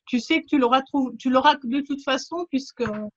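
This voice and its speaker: A woman teaching pastel drawing, hosting a relaxed online group call in a chatty, informal way. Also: high voice, a little fast. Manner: chatty, informal, many fillers and laughs. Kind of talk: casual group video call. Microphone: video call. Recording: dull lo-fi call audio.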